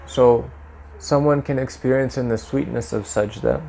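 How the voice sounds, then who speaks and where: A man, a Sufi practitioner, giving measured, explanatory interview answers, dropping in Arabic religious terms. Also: mid-range voice, steady tone, gravelly, mellow, slight background noise, poor audio. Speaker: guest, Mevlevi Sufi practitioner.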